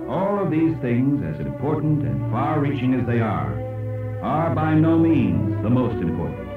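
A man reading scripted educational narration in an emphatic, oratorical way with dramatic pauses. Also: mid-range voice, sing-song, far from the mic. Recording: echoey, dull old cassette played aloud, music. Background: music.

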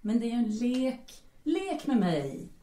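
A woman's voice: a little high, very animated, dark tone. Woman playing swooping, domineering, teasing bohemian seductress. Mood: coaxing, playful. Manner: commanding, coaxing, teasing. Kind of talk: audio drama acting. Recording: echoey room, distant from mic.

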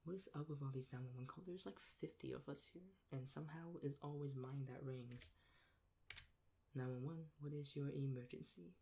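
A young man in a soft-spoken ASMR role play as a rude emergency dispatcher, talking in a deadpan, sarcastic and dismissive way. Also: mid-range voice, moderate pace. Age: young adult. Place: small plain room indoors. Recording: clear background noise, keyboard clicks.

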